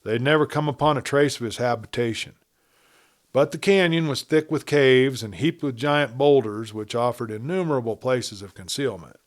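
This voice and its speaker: A man's voice: medium pitch, normal speed. A man reading a western story aloud as a scripted narrator. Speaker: audiobook narrator.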